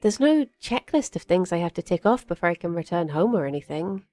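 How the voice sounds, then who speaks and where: A woman's voice: lowish voice, big pitch swings, fairly quick, warm tone. A woman playing a cheerful, chatty young witch in an audio drama, rambling a little hesitantly and eager to please. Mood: easygoing. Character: cheerful, chatty young witch, tall rabbit-eared druid companion. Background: music.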